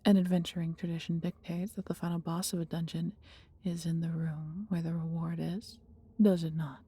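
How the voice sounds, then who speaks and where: Husky, breathy woman as a protective dragoness. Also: on the low side, level tone, normal speed, mellow. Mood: tender, proud. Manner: theatrical, intimate, formal storybook phrasing. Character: affectionate, fiercely protective female dragon who becomes human. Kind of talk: scripted fantasy audio roleplay acting.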